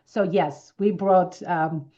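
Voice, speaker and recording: A woman in her fifties from Armenia, speaking English with an Armenian accent, telling lively stories as an interview guest. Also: on the low side, slow, muffled recording. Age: 50s. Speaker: wellness expert guest. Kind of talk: casual interview answers.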